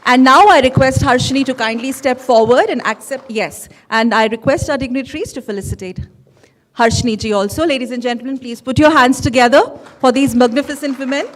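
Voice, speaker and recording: A woman's voice: high-pitched, brisk. A woman with an Indian accent hosting a ceremony, making formal, courteous announcements. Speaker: event host, emcee.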